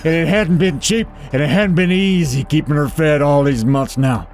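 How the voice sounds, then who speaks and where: A man's voice: a little high, moderate pace, a little mellow, faint music behind, pitched above the performer's own voice, lightly acted. A man voicing a tough, threatening, protective female troll businesswoman with a Southern American drawl and a strained voice. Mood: grim, dramatic.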